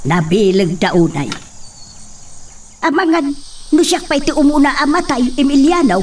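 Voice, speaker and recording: A woman's voice: high voice, lively intonation, normal speed, a little mellow, distant mic. A woman acting as an anxious, questioning wife and mother in a radio drama, with plain-spoken, worried delivery.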